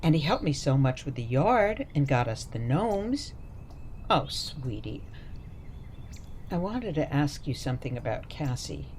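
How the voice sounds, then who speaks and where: An elderly grandmother, played by an actress in a radio play, sweet and meddling. She speaks slowly in a lowish voice with very lively swings of pitch, coaxing and cheerfully oblivious. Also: a little hiss or hum. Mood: chatty, confiding. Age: older.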